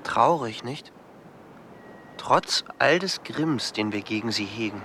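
A sardonic companion in a radio drama, grudgingly sympathetic. His mid-pitched, creaky voice comes in clipped phrases over noisy outdoor ambience with geese. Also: animated, slow pace, pushed and bright, heavily acted. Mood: rueful. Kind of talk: German radio play acting.

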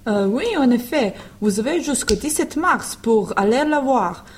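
A woman co-presenter reading a clear, informative introduction on the radio. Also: a little high, lively intonation, measured pace, a little hiss or hum. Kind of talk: read radio narration.

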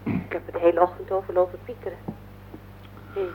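A woman's voice: on the low side, little pitch movement, quick pace. An actress playing an anxious, probing woman heard through a telephone filter in a radio play. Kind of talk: naturalistic Dutch radio play acting. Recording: tinny phone line with hum.

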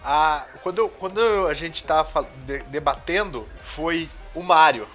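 A man's voice: a little high, a little slow, a bit bright, soft background music. A man with a Brazilian accent bantering in casual slang as a jokey show co-host. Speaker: podcast co-host.

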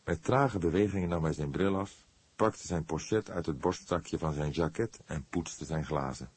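A man's voice: a bass voice, normal speed, darkish tone, breathy edge. A man reading a crime novel aloud as an audiobook narrator, in scripted storytelling style.

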